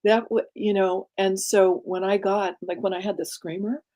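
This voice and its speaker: A middle-aged woman executive coach with a soft, breathy, laid-back voice, speaking calmly in an interview. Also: medium pitch. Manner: calm, unhurried, laid-back. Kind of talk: conversational interview.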